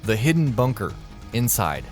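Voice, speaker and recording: Lively male video narrator. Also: mid-range voice, normal speed, soft background music. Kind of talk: scripted countdown narration.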